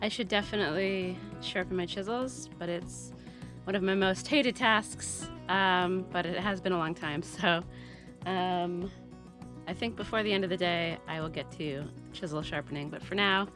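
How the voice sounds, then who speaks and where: A woman's voice: lowish voice, moderate pace, music in the background. A woman with a stuffy nose from a head cold, chatting casually and self-deprecatingly as she works on her tiny house. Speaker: DIY vlogger. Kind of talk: casual vlog narration while working.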